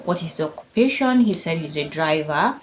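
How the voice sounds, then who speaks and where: A woman's voice: low-pitched, lively intonation, brisk, slight background noise. A woman in her thirties with a Nigerian accent, a Yoruba speaker, telling a personal story in a chatty, casual way with lots of "like" and bursts of laughter. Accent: Nigerian English. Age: 30s. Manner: chatty and conversational, lots of "like", laughing while she tells it.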